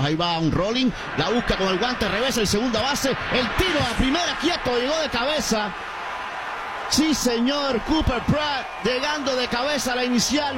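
A man calling a baseball game for radio, his voice high and bright. He talks briskly and climbs to shouts when the action heats up. A steady hiss of noise runs underneath. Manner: brisk, rising to shouts on plays. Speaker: play-by-play announcer.

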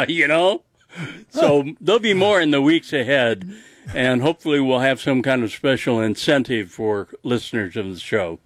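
A man's voice: medium pitch, animated, measured pace, a bit bright, dry recording. Man chatting on radio, very dry sound. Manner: teasing, coy. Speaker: radio show contributor. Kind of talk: casual radio chat.

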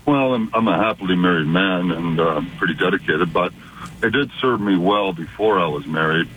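A man calling in to a radio show, with a very deep voice and a relaxed, hesitant way of talking. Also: moderate pace, phone-quality sound, slight background noise. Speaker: caller.

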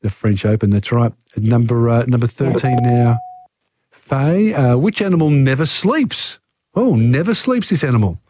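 A man's voice: medium pitch, lively intonation, moderate pace, warm tone, a little hiss or hum. An Australian man hosting a talkback radio quiz, chatting with callers in an upbeat way. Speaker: radio host.

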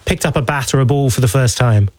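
A man's voice: medium pitch, quick pace, dry recording. Male radio news presenter, close dry sound. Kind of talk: broadcast news interviewing. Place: radio studio.

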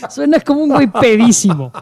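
A man's voice: very high-pitched, sing-song, brisk, darkish tone. A man with a Mexico City accent co-hosting a radio show, crude and mocking, full of slang. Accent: Mexico City Spanish. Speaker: co-host. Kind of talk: casual radio chat.

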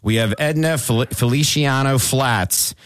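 A man's voice: mid-range voice, measured pace, no echo. A middle-aged man hosting a talk show, telling stories in a chatty, self-mocking way with many restarts. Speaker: radio show host. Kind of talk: casual talk-show chat.

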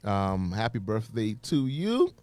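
Jokey DJ with a lively voice. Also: male voice, on the low side, slow pace. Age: 40s. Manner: jokey, laughing, loose. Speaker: DJ and co-host. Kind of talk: casual chat. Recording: faint music behind.

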